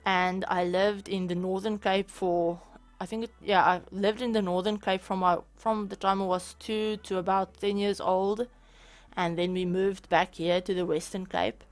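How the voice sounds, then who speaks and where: A woman's voice: mid-range voice, level tone, normal speed. Chatty South African young woman. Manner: chatty, with restarts and small laughs. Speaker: gaming YouTuber. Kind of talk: casual Q&A over a speed build.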